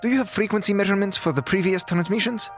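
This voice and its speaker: A man's voice: high-pitched, quick pace, soft background music. An actor playing a brusque, pedantic male doctor of science in an audio drama, speaking in formal, precise phrases. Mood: brisk, analytical. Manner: formal, clipped, precise diction.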